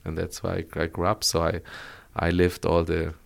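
Deep gravelly man explaining business. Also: monotone, moderate pace. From Colombia. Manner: explanatory, frequent tag "right?". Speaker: guest, former auto executive. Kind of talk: podcast interview.

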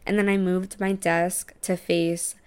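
A young woman co-hosting a podcast in casual chat from her apartment, chatty and quick to swear and laugh. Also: on the low side, flat delivery, darkish tone, clean tone. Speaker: podcast co-host. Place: apartment living room.

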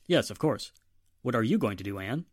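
A man's voice: mid-range voice, expressive, brisk, natural voice. A man playing a stern, fatherly police inspector in a 1940s-style radio drama, admonishing and authoritative. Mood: businesslike.

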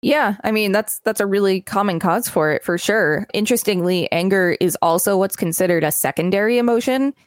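A woman's voice: medium pitch, brisk, hardly any pauses, clean tone, dry recording, studio quality. A woman co-hosting a podcast, reading encyclopedia material aloud with some casual commentary. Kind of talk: scripted narration read aloud, with brief casual commentary.

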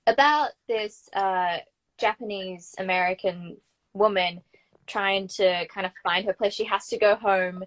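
A woman's voice: medium pitch, measured pace, poor audio. Young Australian woman chatting casually. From Brisbane, Australia. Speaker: guest, actor and acting student. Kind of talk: casual interview chat.

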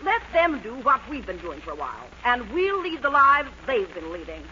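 An actress playing a prim, brisk middle-aged married lady in a 1940s radio comedy. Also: on the high side, sing-song, bright, forceful tone. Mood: resolute. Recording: dull, very noisy old radio recording.

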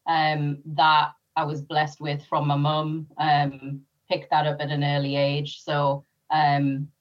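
A woman's voice: sits low, monotone, slow, muffled recording. A woman with a North East English accent, born in Sri Lanka, chatting as a podcast guest with many ums and easy laughs. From Sri Lanka, raised in North East England. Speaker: interview guest, former police officer. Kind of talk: casual interview chat.

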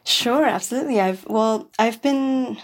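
A woman's voice: a little high, a little slow. A woman from New York City, a podcast guest, talking in a rambling casual way with many fillers and restarts. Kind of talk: casual interview chat.

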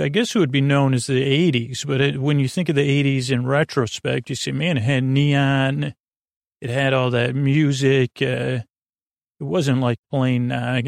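A man hosting a bedtime podcast in a rambling, halting monologue, with a creaky voice, often repeating himself and trailing off. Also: mid-range voice, dry recording, clean studio sound. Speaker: podcast host.

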